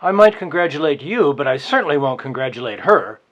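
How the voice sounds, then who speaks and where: A man's voice: a little high, sing-song, fairly quick, fairly bright tone. A man playing a sarcastic, possessive boss in his forties in a dramatized novel reading, needling as he talks. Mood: scornful. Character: scornful, possessive man over forty, a boss.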